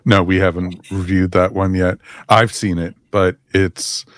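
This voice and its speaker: A man's voice: deep voice, level tone, measured pace, no room sound, clean studio sound. A man talking casually as a panelist in a podcast review discussion. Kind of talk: casual chat reviewing audio dramas.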